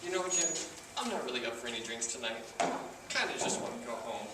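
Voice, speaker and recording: A man's voice: mid-range voice, sing-song, fast, lightly acted. A man playing an uptight, socially awkward man in a stage comedy, defensive and stiff, with precise wordy phrasing. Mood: reluctant, weary. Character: Steve, uptight socially awkward man guarding his personal space. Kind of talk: stage comedy acting, projected. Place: theater stage, recorded from the audience distance. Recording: echoey theater, far mic, noisy.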